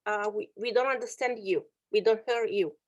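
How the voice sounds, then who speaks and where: A woman's voice: mid-range voice, normal speed, no room sound, lo-fi sound. A woman hosting a video-call webinar, searching for English words with many fillers and translating for others. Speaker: webinar host and interpreter. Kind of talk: casual video-call presentation.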